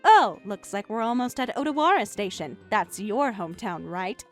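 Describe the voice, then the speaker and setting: A woman's voice: medium pitch, animated, moderate pace, soft background music. A woman voicing a vengeful betrayed wife in a story, sarcastic and scolding. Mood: gloating.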